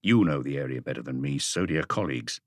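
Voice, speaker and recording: A man's voice: a bass voice, lively intonation, fast, no room sound, studio quality. A man reading a mystery novel aloud as an audiobook narrator, in a scripted literary style.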